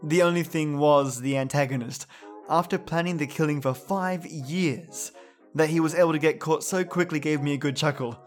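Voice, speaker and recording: A man's voice: on the high side, normal speed, soft background music. A man hosting a podcast with casual, chummy commentary addressed to his listeners. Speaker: podcast host.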